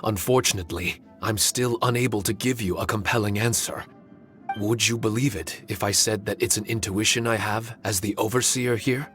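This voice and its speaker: A man playing a calm, courteous male spirit overseer in a video game, with formal and polite phrasing. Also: lowish voice, close to an everyday voice. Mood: apologetic, earnest. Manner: formal, measured wording, polite. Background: music.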